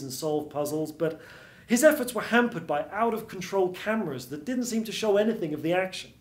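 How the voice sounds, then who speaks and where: A man in his thirties narrates a noir tale in radio-play style. His voice is fairly high for a man and carries the roomy sound of a small theatre stage. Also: a little mellow. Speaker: storyteller. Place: small theatre stage before seated audience.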